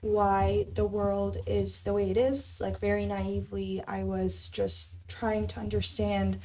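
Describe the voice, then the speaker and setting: A young woman from North Africa answering interview questions thoughtfully, pausing and searching for her words. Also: mid-range voice, level tone, darkish tone. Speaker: guest, immigrant rights advocate. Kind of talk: reflective conversational interview answers.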